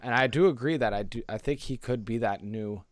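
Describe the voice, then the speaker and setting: A man's voice: medium pitch, fairly quick, no room sound, studio quality. A man co-hosting a casual pop culture podcast, talking in a slangy, rambling, enthusiastic way. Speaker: podcast co-host.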